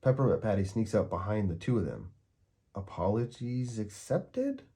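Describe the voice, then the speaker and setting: A man's voice: fairly low pitch, animated, quick pace, dark tone, slightly rough. A man reading a children's picture book aloud as a story time narrator.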